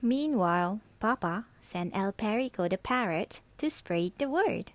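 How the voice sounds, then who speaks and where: A woman's voice: medium pitch, lively intonation, a little slow, no echo. A woman reading a children's storybook aloud as scripted narration. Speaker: storybook reader.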